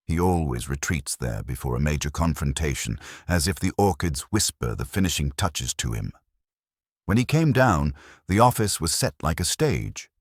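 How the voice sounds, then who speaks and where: A man narrating a first-person detective story in a measured, dramatic storytelling delivery. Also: a bass voice, husky, dry recording, clean studio sound. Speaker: audiobook narrator.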